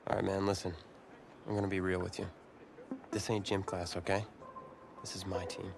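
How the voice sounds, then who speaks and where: An actor playing a cocky, territorial high school quarterback in a game cutscene, boastful and coolly confrontational. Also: male voice, little pitch movement, normal speed, gravelly, background noise. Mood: warning.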